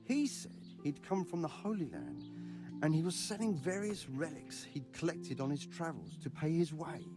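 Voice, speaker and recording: A man's voice: on the high side, normal speed, music in the background, close to an everyday voice. An actor playing an eager, devout, grasping medieval craftsman in a video game, talking emphatically and persuasively. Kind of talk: video game dialogue acting.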